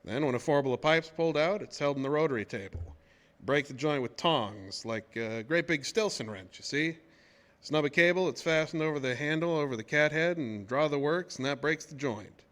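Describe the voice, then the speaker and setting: An actor playing a plain-spoken, shaken oil-rig worker who tells his tale in a folksy, halting way in a radio horror drama. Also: a little high, animated, fairly bright tone. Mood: matter-of-fact. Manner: folksy, colloquial, halting storytelling.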